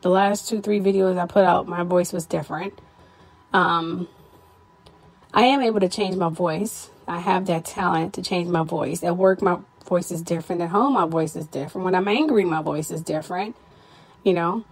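A tired, stressed woman hosting her own podcast, talking candidly to listeners in a casual personal update, her voice a little hoarse. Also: fairly low pitch, steady tone, moderate pace, low-quality recording. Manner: candid, weary, stressed, with frequent "you know". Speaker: podcast host.

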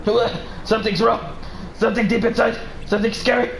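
A man's voice: very high voice, fairly even intonation, brisk, creaky, reverberant, slight background noise, pitched above the performer's own voice, rough recording. A man voicing a big, theatrical bogeyman villain in a mock dub of a video game cutscene. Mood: alarmed. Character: big blustering male bogeyman boss, taunting then frightened.

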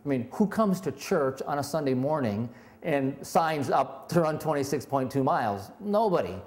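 A man's voice: on the high side, lively intonation, normal speed, a little mellow. Candid, self-deprecating pastor in his forties. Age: 40s. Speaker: lead pastor. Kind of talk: testimonial interview. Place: indoor room with brick wall.

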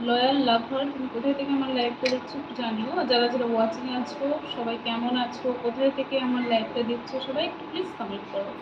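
High-voiced woman on echoey live stream. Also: fairly even intonation, fast talker, hardly any pauses. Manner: repeats viewers' names and questions, thanking and prompting them. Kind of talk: casual live chat with viewers, reading out comments. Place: at home. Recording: dull, echoey live stream with clear background noise.

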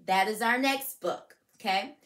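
A woman's voice: medium pitch, unhurried, a bit bright. A woman in her thirties who speaks expressively to young listeners as friends, as a children's storybook host. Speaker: school counselor and read-aloud host. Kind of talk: animated children's storybook read-aloud and introduction. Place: furnished room at home, indoors.

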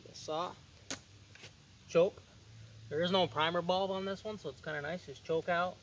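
A man's voice: high voice, steady tone, normal speed, slight background noise, poor audio. A young man hosting a casual outdoor vlog, chatting easily and repeating words for emphasis, recorded outdoors in a backyard on a nearby camera mic. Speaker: vlog host. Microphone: camera mic on a tripod nearby.

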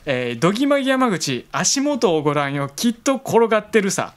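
A man's voice: sits high, sing-song, moderate pace. A young man with a Kansai accent, co-hosting a radio show in casual, playful chat with mock-earnest storytelling. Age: young adult. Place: small indoor room. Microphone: studio desk microphone with headphones.

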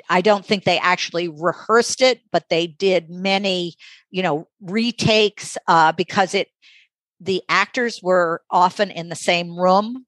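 A mature woman speaking as an interview guest, thoughtful and conversational, halting and reflective as she pauses mid-sentence. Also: on the low side, no echo. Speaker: interview guest, audiobook magazine editor.

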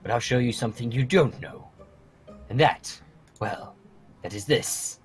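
A man playing a solemn dragon mentor in human form with a measured, portentous manner, in a gaming roleplay. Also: mid-range voice, expressive, soft background music, lightly acted. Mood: solemn, instructive. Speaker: mentor training young dragon riders. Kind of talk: fan roleplay acting in a gaming video.

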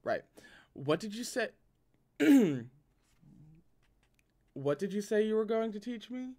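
A man's voice: high-pitched, very animated, moderate pace, pitched above the performer's own voice. A man voicing a reserved young man who speaks in clipped, cold questions. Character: stern reserved young man, restrained anger.

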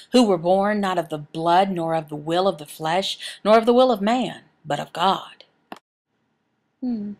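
A woman voicing a signed Bible teaching as interpreter, reading scripture and explaining it earnestly phrase by phrase, with pauses. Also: mid-range voice.

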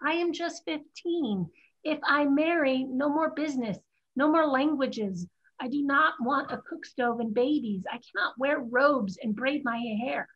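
Defiant teen girl disguised as a boy, read by a woman, high voice, earnest stage-play delivery, slightly muffled call audio. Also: unhurried. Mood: distressed, defiant. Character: defiant fifteen-year-old Chinese girl raised disguised as boy. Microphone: video call. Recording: dull video call audio.

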